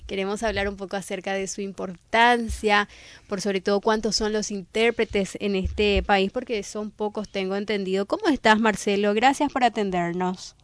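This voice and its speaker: A female radio co-host, giving measured introductions and questions. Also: medium pitch, fairly even intonation, no echo. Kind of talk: radio interview.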